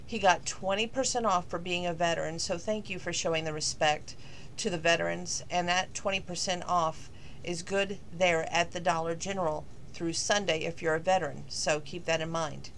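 A middle-aged woman, slightly hoarse from losing her voice, rambling casually in a talking-to-camera vlog with lots of fillers. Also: lowish voice, fairly even intonation, normal speed, fairly bright tone, a little hiss or hum, poor audio. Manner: rambling, chatty, with many fillers. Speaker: vlogger.

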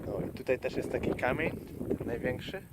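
A man giving casual, halting travel vlog commentary outdoors, often searching for his words. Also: medium pitch, lively intonation. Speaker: travel vlogger. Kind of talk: casual vlog commentary while showing sights. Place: outdoors by a lake and stream. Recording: loud wind and water noise outdoors. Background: wind, water noise.

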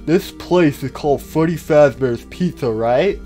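A man voicing a dim, nosy, childlike animatronic bear in a comedy cartoon, questioning everything in a slow-witted way. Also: fairly high pitch, warm tone, faint music behind, natural voice. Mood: dawning realization. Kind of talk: amateur comedy cartoon dialogue.

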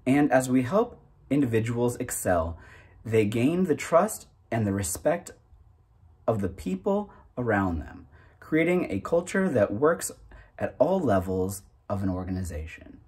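Male reader, 30s, very husky voice, deliberate and emphatic delivery of self-help text with animated pitch. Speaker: narrator reading a book aloud. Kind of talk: reading aloud from a self-help booklet.

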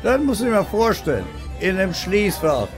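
A rowdy male barfly, acted out by a narrator man brags in excited, crude slang. The voice is pitched well up, as in an audio drama, with music behind it. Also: normal speed. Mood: crude, amused.

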